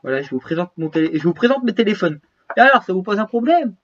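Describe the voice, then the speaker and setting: A man's voice: high voice, very animated, quick pace, a bit bright, dull audio. A man with a cold, short of breath, chatting casually and rambling to his viewers as he shows off his phones and gear. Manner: rambling, restarts, slangy. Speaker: YouTuber showing his gear. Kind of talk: casual vlog chat to viewers.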